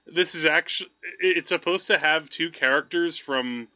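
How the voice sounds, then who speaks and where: A man co-hosting a movie podcast, talking casually in a halting, digressive, amused way. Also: fairly high pitch, pushed and bright, no room sound. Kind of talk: casual film review chat. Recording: slightly dull, lo-fi podcast audio.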